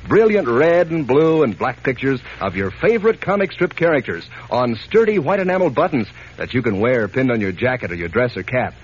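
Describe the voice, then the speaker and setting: A man's voice: lively intonation, brisk, few pauses, breathy edge. An American man announcing in a folksy, enthusiastic 1940s radio advertising style aimed at children. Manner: folksy, enthusiastic sales pitch. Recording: dull old radio recording, faint noise.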